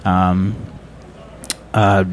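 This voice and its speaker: A man with a fairly low voice hosts a casual talk show from a studio. He talks in a halting, rambling way, circling back on his phrases, with faint noise behind him. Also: little pitch movement. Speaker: radio show host. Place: radio studio.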